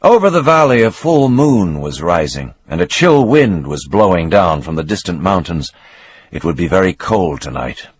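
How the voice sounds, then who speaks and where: A man reading a novel aloud as narrator, in a measured, expressive storytelling manner with a husky voice. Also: low-pitched, no room sound.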